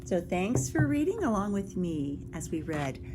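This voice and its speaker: A woman reading a picture book aloud to young children as a teacher or librarian would, expressive and engaging, dropping into whispers for suspense and putting questions to the listeners. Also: mid-range voice, normal speed, noisy background.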